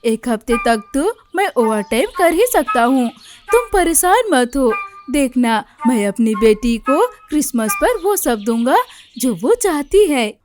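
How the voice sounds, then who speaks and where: A woman's voice: sits high, very animated, unhurried. A woman voicing a poor, devoted father bird, earnest and reassuring, in a Hindi cartoon story. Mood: earnest, insistent. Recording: music behind the voice.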